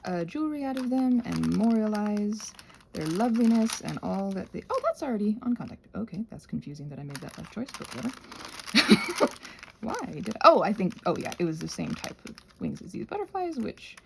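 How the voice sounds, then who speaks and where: A woman giving a casual, rambling craft tutorial, chatty and thinking aloud as she interrupts herself with gentle reassurances. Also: mid-range voice, sing-song, normal speed, creaky, a little hiss or hum. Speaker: craft and ASMR channel creator, host.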